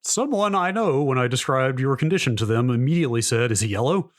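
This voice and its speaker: A man's voice: medium pitch, lively intonation, fast talker. A man co-hosting a podcast, bantering casually with a dry, teasing sense of humor. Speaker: podcast co-host.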